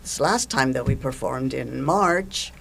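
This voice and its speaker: An older woman who coordinates events for a theater group, talking casually and haltingly in an interview. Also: rumbling low, animated, gravelly, fairly bright tone, a little hiss or hum.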